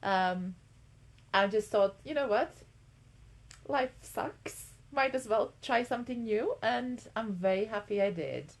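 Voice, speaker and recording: A woman's voice: on the high side, animated, takes it slowly. A woman in her twenties from Latvia, co-hosting a podcast in casual chat, talking with many ums and likes. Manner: chatty, lots of ums and likes. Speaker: podcast co-host.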